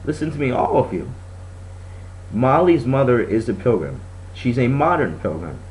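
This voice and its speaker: A man voicing a kind but firm female schoolteacher in a storybook reading, speaking with deliberate emphasis. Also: medium pitch, sing-song, a little mellow, a little hiss or hum, natural voice, low-quality recording.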